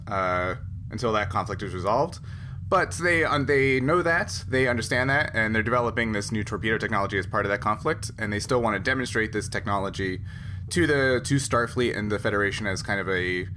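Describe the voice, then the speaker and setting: A man narrating a tabletop game as game master in a casual, halting, explanatory way. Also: lowish voice, bright, forceful tone. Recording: clearly noisy group recording.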